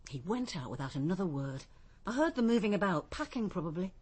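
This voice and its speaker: A shaken witness in a radio drama. Her slightly low voice recounts events carefully, as testimony given to police. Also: big pitch swings, brisk, darkish tone, slightly rough. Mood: shaken recollection. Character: shaken female witness recounting a frightening outburst.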